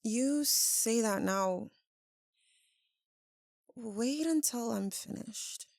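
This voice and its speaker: A melancholy female vampire lover, played by a woman for an ASMR roleplay, speaks extremely slowly in a creaky voice. She is tender and tormented, hesitating with long, heavy pauses. The sound is close and very dry, as if confided to one listener. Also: a little high, no echo, exaggerated voice. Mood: hesitant, troubled. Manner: hesitant, halting, earnest, with long dramatic pauses. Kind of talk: slow, intimate ASMR roleplay.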